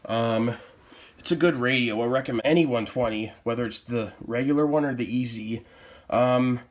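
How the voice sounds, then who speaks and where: A man's voice: mid-range voice, moderate pace. A man with an American accent casually presenting items from his hobby collection, as in a home product show-and-tell.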